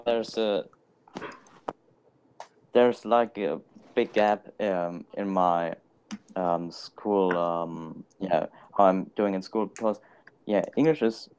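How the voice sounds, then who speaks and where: Halting young man, low voice. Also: darkish tone, a little hiss or hum, poor audio. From Germany. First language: German. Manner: hesitant, halting, frequent ums and light laughter. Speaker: guest. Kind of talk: casual live chat.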